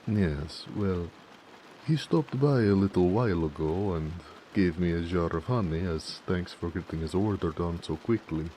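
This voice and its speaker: A man voicing a gentle, bashful orc blacksmith who speaks hesitantly and affectionately in an intimate romance audio roleplay. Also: low voice, slight background noise, close to an everyday voice. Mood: warm, chatty. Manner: soft-hearted, hesitant, with pauses and hedging.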